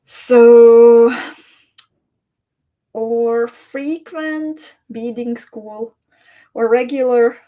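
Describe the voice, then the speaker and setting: A woman talks slowly and unhurriedly as she hosts a live craft stream. Her voice is mellow and soft-edged, and she is chatty and descriptive, greeting her viewers by name. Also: fairly high pitch, poor audio. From Hungary or Slovakia. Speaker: host of a beading livestream. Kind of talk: live-stream chat, showing beads and reading viewer comments.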